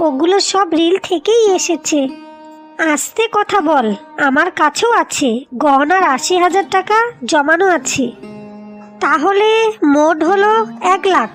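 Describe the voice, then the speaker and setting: A woman voicing an earnest, practical wife in scripted cartoon dialogue, speaking deliberately. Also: extremely high pitch. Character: determined, practical young Bengali wives plotting to save home.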